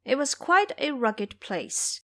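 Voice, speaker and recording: A woman's voice: high voice, sing-song, slow, bright, forceful tone. A woman narrating a scripted fantasy tale as a dramatic storyteller.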